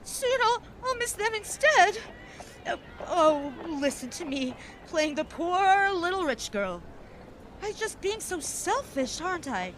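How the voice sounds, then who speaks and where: An actress playing a spirited young high-society woman of the 1920s in an audio drama, effusive and theatrical with a wistful streak. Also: very animated, fairly bright tone, slight background noise, heavily acted, low-quality recording. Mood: wistful, tearful. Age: young adult. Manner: effusive, theatrical, halting when emotional. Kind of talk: period audio drama acting.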